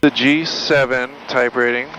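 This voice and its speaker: A man's voice: mid-range voice, fairly even intonation, a little slow, background noise. A man with an American accent, a pilot chatting casually and making radio calls from the cockpit. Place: small aircraft cockpit in flight. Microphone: aviation headset. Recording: dull intercom audio, loud aircraft drone.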